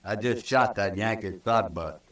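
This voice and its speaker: A man's voice: lowish voice, normal speed, a bit bright, close to an everyday voice. A man playing a spiteful, unrepentant cowboy killer in a radio western, with brazen, folksy insolence. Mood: brazen, sullen. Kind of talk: old-time radio western acting. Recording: distant old radio recording.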